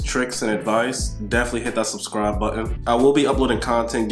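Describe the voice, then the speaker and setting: A man's voice: medium pitch, echoey room. A man, a video director and editor, giving casual advice to camera while congested from the flu, talking directly and with slang. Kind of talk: casual tips vlog to camera.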